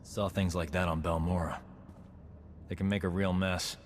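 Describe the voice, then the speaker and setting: Man acting a suave, low-voiced flirtatious doctor. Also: flat delivery, moderate pace, in a large hall, slight background noise, lightly acted. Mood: wry, matter-of-fact. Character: flirtatious male doctor companion, smooth, wry. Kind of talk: scripted game dialogue.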